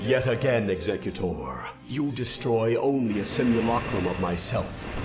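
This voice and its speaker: An actor playing a proud, bitter alien judge in a video game, declaiming pompously. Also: male voice, lowish voice, lively intonation, unhurried, heavy background noise. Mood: scornful. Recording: loud music behind the voice. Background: loud game music.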